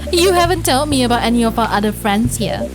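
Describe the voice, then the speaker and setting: A woman's voice: fairly high pitch, lively intonation, a little fast, over music, lightly acted. A woman voicing an eager, sentimental writer who is a coffee shop regular in a visual novel. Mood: fond, eager.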